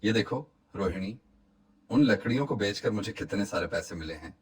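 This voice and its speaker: A man playing an honest, hopeful woodcutter and family man in a cartoon moral story, speaking in an earnest, reassuring, measured way. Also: lowish voice, expressive, husky. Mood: pleased, reassuring. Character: honest, hopeful, hardworking poor woodcutter, husband and father.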